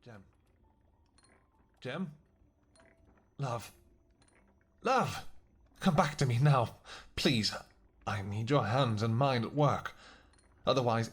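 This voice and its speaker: A man playing a tender, protective vampire king in a roleplay audio drama, speaking formally and earnestly, with halting phrases. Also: mid-range voice, lively intonation, breathy edge. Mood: urgent, pleading.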